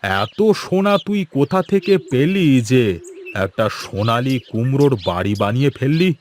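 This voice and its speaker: A man's voice: mid-range voice, expressive, normal speed, slight background noise, natural voice. A man voicing a hot-tempered, domineering village headman who threatens and bellows orders in a fairy-tale cartoon. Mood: accusing, greedy. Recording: loud music behind the voice.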